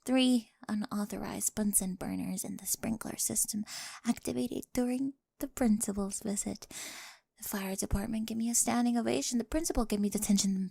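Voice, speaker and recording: A woman acts out an oddball high school girl with a crush, in a high voice with a creaky edge. She rambles eagerly and awkwardly, dipping into breathy whispers. It is an intimate ASMR roleplay, recorded close and very dry. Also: a little mellow, no room sound. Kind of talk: scripted ASMR roleplay, addressed to the listener. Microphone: close, dry studio-style microphone.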